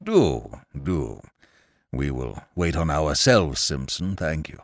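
A man's voice: rumbling low, sing-song, slow pace, no room sound, studio quality, full character voice. A man voicing a courtly, old-fashioned elderly English solicitor in an audiobook, mild and genial, with an English accent and a habit of repeating his phrases. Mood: courtly, proud. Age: older. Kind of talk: audiobook character voice.

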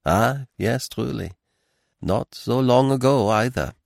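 A man's voice: medium pitch, slow, gravelly, no room sound, clean studio sound, voice pushed up. A man voicing a fussy, dignified Belgian detective with a French accent and a precise, theatrical, philosophical manner, in a mystery audiobook.

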